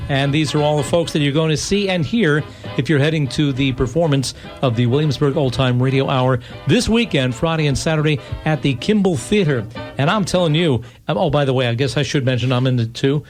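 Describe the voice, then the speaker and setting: A man's voice: a little high, soft background music. A man with an American accent hosting a live radio interview in an enthusiastic, chatty, stop-and-start way. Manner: enthusiastic, chatty, halting restarts. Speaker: radio host.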